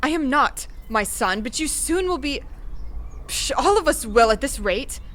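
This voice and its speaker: A woman's voice: sits high, lively intonation, measured pace, fairly bright tone. A woman playing an older, haughty queen mother in an audio drama, theatrical and emphatic. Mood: alarmed, scornful. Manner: imperious, theatrical, emphatic repetitions. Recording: music under the voice.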